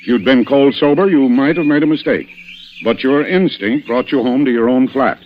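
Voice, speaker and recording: A man playing a shrewd, dry, commanding police inspector in old-time radio mystery style, deliberate and sardonic in his questioning. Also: medium pitch, lightly acted. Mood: forceful, accusing. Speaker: radio actor. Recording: muffled, hissy old radio recording.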